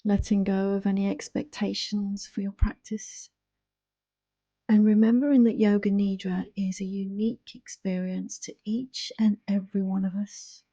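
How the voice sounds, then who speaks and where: Very soft, mellow woman guiding meditation. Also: medium pitch, level tone, no echo, highs cut off. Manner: soothing, hushed, unhurried delivery. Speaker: yoga nidra guide. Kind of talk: guided relaxation meditation.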